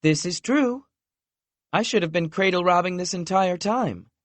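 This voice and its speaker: A man's voice: unhurried, no echo. A man reading an audiobook, voicing a vulnerable, emotional woman who worries about losing the people she loves. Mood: tearful. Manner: wobbly, raised pitch, soft.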